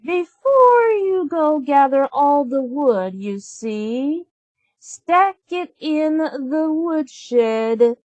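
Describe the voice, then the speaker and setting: A spiteful young storybook stepsister, acted out by a woman. The voice is high and bossy, with drawn-out, demanding calls and big swings in pitch. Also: big pitch swings, a little mellow, no echo, muffled recording, full character voice.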